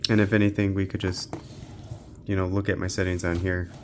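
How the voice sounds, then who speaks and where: A man's voice: deep voice, level tone, a little fast, slight background noise. A man giving casual, unscripted gaming commentary as a channel host, thinking aloud in a laid-back way.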